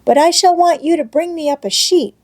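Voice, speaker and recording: A woman's voice: very high voice, brisk, darkish tone, voice pushed up. A woman voicing a cheerful, eager young girl in a storybook read-aloud, plain-spoken and childlike. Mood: delighted.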